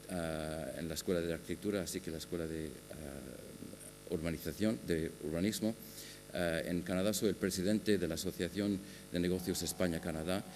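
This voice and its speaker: A man giving a conference talk on a panel, speaking haltingly with many filler sounds and restarts. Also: low voice, a little mellow. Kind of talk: conference presentation. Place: large conference hall. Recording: long hall reverb with faint hum.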